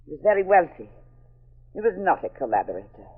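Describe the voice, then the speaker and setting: A woman's voice: on the low side, big pitch swings, fast talker, husky, full character voice. A lo-fi old broadcast features a proud, treacherous French murderess. She speaks in stiff, formal phrases with the intensity of 1940s radio melodrama. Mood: bitter, confessing. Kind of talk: 1940s radio mystery acting. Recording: dull, lo-fi old radio recording.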